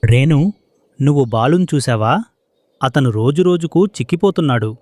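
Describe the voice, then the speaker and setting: A man's voice: mid-range voice, animated, normal speed, a little mellow, no room sound, lightly acted. A man voicing a concerned, helpful pigeon friend in a children's bird cartoon.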